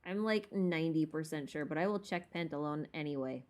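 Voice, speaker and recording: A woman's voice: low voice, a little fast, darkish tone. A woman livestream host chatting casually about a game, thinking aloud in an informal way. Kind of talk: casual chat, game lore rambling. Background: background music.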